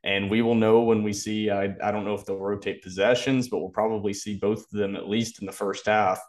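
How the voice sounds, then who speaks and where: A man's voice: fairly low pitch, little pitch movement, brisk, a little mellow. A man co-hosting a sports podcast, giving casual analysis in a conversational way.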